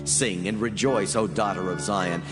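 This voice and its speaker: An actor playing a solemn, commanding angel who proclaims God's word gravely in a dramatized scripture reading. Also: male voice, on the low side, moderate pace, loud music behind the voice, natural voice. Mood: commanding, exalted. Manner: grave, declamatory.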